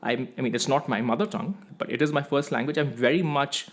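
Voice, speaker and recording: A man's voice: medium pitch, fast. A man from India, hosting a music channel and explaining his opinion step by step in an emphatic monologue.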